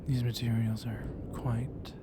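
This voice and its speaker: A man acts out a seductive vampire librarian with a gently commanding, flirtatious air. He speaks very slowly in a soft, hushed voice that often drops into a whisper, as in an intimate ASMR roleplay. His pitch is an ordinary middle male one, and a clear rumble of thunder runs behind him. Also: flat delivery, natural voice. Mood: teasing, seductive.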